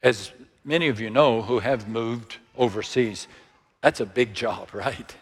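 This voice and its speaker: A man in his sixties with a Texan accent, preaching a sermon in a slow, measured way that sinks to hushed, near-whispered lines. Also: medium pitch, breathy edge, long reverb tail. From Texas. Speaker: pastor.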